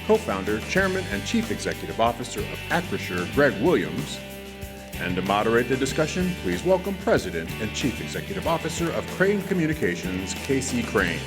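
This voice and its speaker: Lively male event announcer over music. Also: mid-range voice, fairly quick. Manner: formal, announcing names and titles. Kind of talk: scripted stage introduction. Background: music with plucked guitar.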